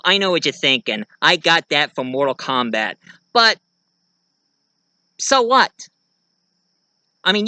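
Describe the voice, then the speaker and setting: Bright-voiced man in a casual vlog. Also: high-pitched, clear, resonant tone, no room sound. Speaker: video creator and amateur writer.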